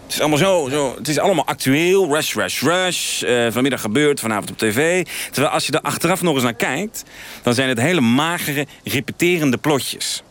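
A man's voice: on the high side, animated, brisk, a bit bright. A man playing an earnest, frustrated TV news director with cinematic dreams, speaking hesitantly and repeating himself in a mock radio interview. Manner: hesitant, rambling, repeats himself, self-serious. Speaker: interview guest.

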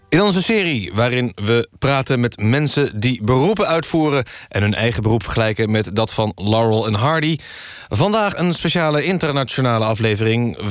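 A man presenting a satirical radio magazine in a formal, deadpan manner. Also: mid-range voice, dry recording, clean studio sound.

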